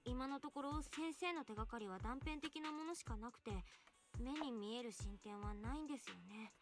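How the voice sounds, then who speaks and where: A woman's voice: fairly high pitch, fast, soft background music, close to an everyday voice. An actress playing a polite young woman agent giving a report in a Japanese video game. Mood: polite, concerned.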